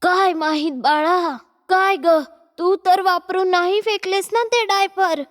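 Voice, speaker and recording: A woman voicing a bossy, nagging middle-aged mother-in-law in a cartoon story, with a sharp manner. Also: very high-pitched, fairly even intonation, takes it slowly, in a large hall. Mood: scolding.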